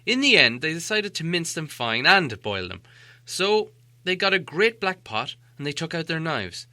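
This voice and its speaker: Animated, very bright-voiced male storyteller. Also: on the high side, normal speed, no echo. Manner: dramatic, theatrical storytelling. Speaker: audiobook narrator. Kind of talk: expressive scripted storybook narration.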